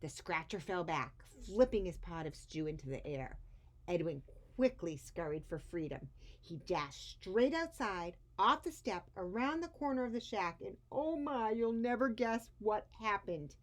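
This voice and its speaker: A woman reading a picture book aloud to children expressively, with dramatic pauses and emphasis on key words. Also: mid-range voice, animated. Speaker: children's story reader.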